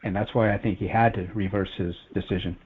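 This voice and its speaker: A man's voice: fairly low pitch, steady tone, fast. A man giving an earnest, rambling, casual personal account on a conference call. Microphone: telephone conference call. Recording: phone-like conference call audio.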